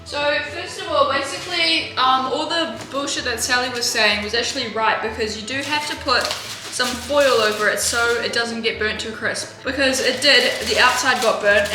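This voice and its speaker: A woman vlogging in a home kitchen, chatting in a rambling way with sarcastic, deadpan asides, recorded on a camera mic. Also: high-pitched. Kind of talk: casual vlog chat. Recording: roomy with music bed.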